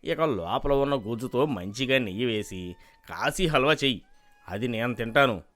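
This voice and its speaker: A man's voice: medium pitch, lively intonation, moderate pace, a bit bright, close to an everyday voice. A man playing a gluttonous, short-tempered landlord with a pompous, commanding manner in a storybook cartoon. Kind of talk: animated storybook dialogue.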